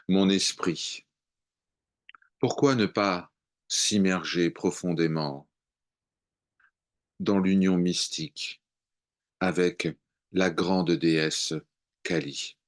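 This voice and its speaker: A man reciting devotional poetry in a measured, contemplative way, with long pauses between short phrases. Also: sits low. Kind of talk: slow devotional poem recitation.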